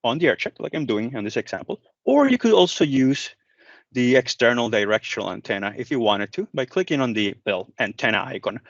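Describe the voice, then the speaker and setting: Man presenting a tutorial, very lively pitch. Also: brisk, dry recording, lo-fi sound. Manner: conversational, explanatory, addresses the viewer. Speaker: product manager presenting a product demo. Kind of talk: software walk-through tutorial.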